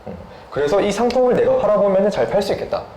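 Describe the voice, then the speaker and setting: A man's voice: sits high, sing-song, very fast, crowd noise. A young man answering questions as an interview guest in a casual, conversational way. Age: young adult. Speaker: interviewed guest, software developer and startup founder. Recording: echoey room with background noise.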